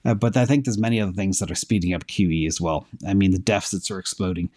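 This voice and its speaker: Hoarse man thinking aloud on a livestream. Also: deep voice, steady tone, fast talker, darkish tone. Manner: thinks aloud with fillers, checking data live. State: losing his voice. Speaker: host answering viewer questions. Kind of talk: casual livestream talk.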